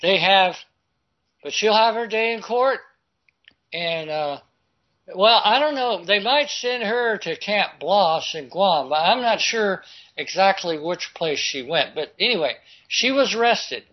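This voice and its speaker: A man reading news articles aloud and commenting on them, halting and stumbling over words as he goes. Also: high-pitched, lively intonation, muffled recording. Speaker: political commentary host.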